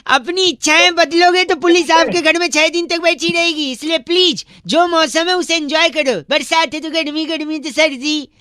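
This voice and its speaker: A man's voice: very high-pitched, normal speed, hardly any pauses, bright, forceful tone, dry recording, studio quality. A man voicing a cheeky, naive boy prank caller who pesters in a mock-polite, rambling way on a comedy radio show. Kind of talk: comic prank call patter.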